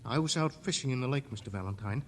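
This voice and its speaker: A man's voice: medium pitch, expressive, quick pace, a little hiss or hum, natural voice. An actor playing an earnest, anxious and eager young country man in an old radio drama. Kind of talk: 1940s-50s radio drama acting.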